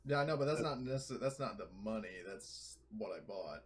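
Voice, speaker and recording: A man playing a tabletop roleplaying game, talking casually and excitably and swearing freely. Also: fairly low pitch, fairly quick, crowd noise. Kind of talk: casual group game chat.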